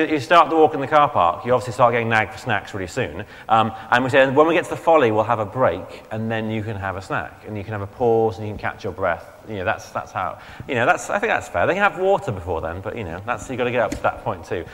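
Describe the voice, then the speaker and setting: A man's voice: medium pitch, expressive, fast, hardly any pauses, a bit bright. A man preaches in an easygoing, chatty way, catching and fixing his own slips as he talks. His voice sits in a middle register and sounds strained, as if his throat is giving out. The sound carries a long reverb from a large church hall. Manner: conversational, chatty, self-correcting. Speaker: vicar preaching. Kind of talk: sermon.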